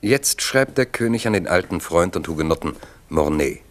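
Low deep guy narrating old radio drama, calm measured storytelling. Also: slight background noise.

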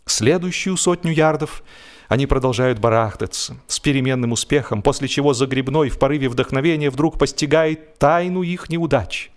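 A man reading humorous literary prose as an audiobook narrator, with a theatrical, expressive delivery. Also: medium pitch, normal speed.

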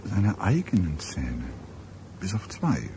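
An actor in a German radio drama playing a sombre, puzzled police detective, speaking gravely with halting, musing pauses. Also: male voice, low voice, huge pitch swings, background noise, full character voice. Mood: grim, disturbed.

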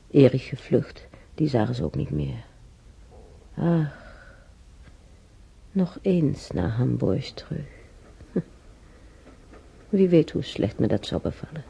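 A frail old woman in a radio play, bedridden, wistful and plucky. Her voice is very dark and soft, badly husky and often breaking into gravel. She talks slowly and haltingly to herself, sighing between thoughts as she looks back. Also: a bass voice, animated, heavily acted. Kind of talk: radio drama monologue.

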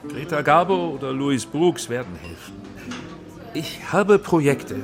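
An actor playing a proud, evasive middle-aged film director in exile in a German radio drama, speaking haltingly and defensively. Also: male voice, a little high, expressive, music in the background. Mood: defiant.

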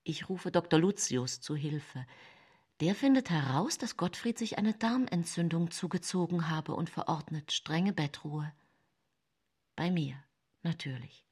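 An actress playing a calculating, self-pitying poisoner widow who narrates her own tale in a radio drama, with a husky voice. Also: deep voice, animated, unhurried, a little mellow, no room sound, studio quality, exaggerated voice. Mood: matter-of-fact.

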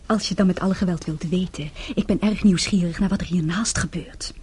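A woman's voice: on the low side, fairly quick, a little mellow, breathy edge. An actress playing a cool, sceptical woman fending off a suitor in a radio play, dryly teasing. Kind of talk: Dutch radio play acting.

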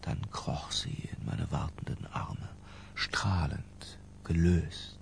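An actor playing an obsessed, cultured middle-aged narrator in a literary radio drama, lyrical and intimate. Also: male voice, very deep voice, fairly even intonation, takes it slowly, gravelly, in a whisper, exaggerated voice. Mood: entranced, yearning.

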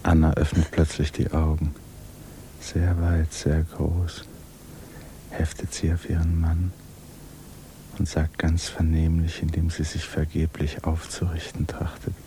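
A man narrating a 1950s radio drama in a hushed, measured, grave and restrained manner. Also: very deep voice, monotone. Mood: measured, solemn. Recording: noisy 1950s radio recording.

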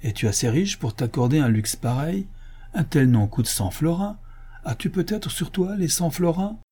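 A man's voice: mid-range voice, big pitch swings, moderate pace, warm tone, breathy edge. A man voicing a haughty, surly male official in a storybook reading, scornful and pompous in his questioning. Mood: mocking, scornful. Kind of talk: audiobook character acting.

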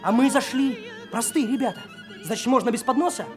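Cocky, high-voiced young trainee detective. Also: male voice, animated, fairly quick. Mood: indignant. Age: young adult. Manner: brash, confident, lecturing. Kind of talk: Soviet radio drama acting. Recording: 1980s radio drama, music behind.

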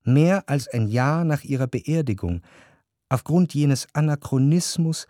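An actor playing a reflective, grieving narrator in a literary radio drama, with measured, introspective and deliberate phrasing. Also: male voice, medium pitch, lively intonation, warm tone, natural voice. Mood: sorrowful, reflective. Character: reflective, grieving male narrator remembering his grandmother.

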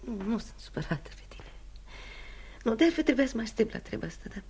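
An actress in a radio play playing a proud, wounded mistress in her thirties, speaking in an intimate, halting, wry way. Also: a little high, big pitch swings, darkish tone, husky, in a whisper, full character voice. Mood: wounded, hushed. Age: 30s. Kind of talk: radio theatre acting.

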